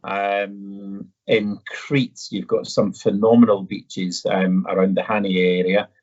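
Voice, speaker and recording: Low-voiced Edinburgh Scottish man. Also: level tone, slow, a little mellow. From Edinburgh, Scotland. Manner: hesitant, polite, conversational. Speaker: presenter answering viewer questions. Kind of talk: casual live question-and-answer presentation. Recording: dull, slightly muffled audio.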